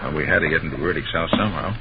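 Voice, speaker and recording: An actor playing a decisive, analytical detective in an old-time radio drama, with a commanding, deliberate delivery. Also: male voice, a bass voice. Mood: cautious, low. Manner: commanding, deliberate, clipped instructions. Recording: noisy, muffled vintage radio recording.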